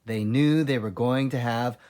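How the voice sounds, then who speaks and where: A man's voice: medium pitch, unhurried, darkish tone. A man reading a children's story aloud expressively, in the role of a teacher librarian.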